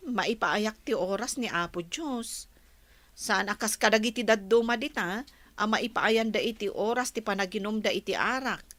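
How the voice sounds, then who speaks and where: A woman's voice: fairly high pitch, pushed and bright. A woman playing a long-suffering wife in a radio drama, earnest and reproachful. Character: troubled, long-suffering wife of a drinking husband. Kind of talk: Ilocano comedy radio play acting.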